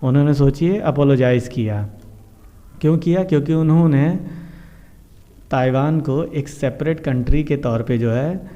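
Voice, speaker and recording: A man's voice: on the high side, unhurried, mellow. An Indian man hosting an informal news explainer, talking to viewers in a repetitive, explanatory way and mixing in English terms. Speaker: host of a current affairs explainer show. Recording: dull, muffled lo-fi sound.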